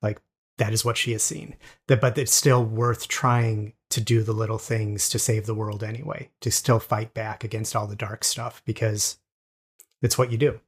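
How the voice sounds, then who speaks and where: A man hosting a casual podcast interview, speaking enthusiastically in a halting way and often repeating his words as he goes. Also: fairly low pitch, flat delivery, husky.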